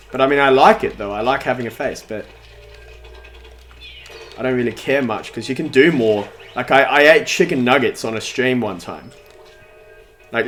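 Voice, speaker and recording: A man's voice: mid-range voice, fairly bright tone. A nineteen-year-old man streaming a game, chatting casually in a rambling, talkative way while answering chat, with a voice that sounds younger than his age. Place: at home in front of a big TV.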